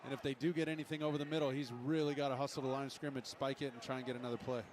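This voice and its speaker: A male color analyst with a mid-pitched voice breaks down football in a broadcast style. He is colloquial and emphatic, with clear stadium noise behind him. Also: level tone, rapid-fire.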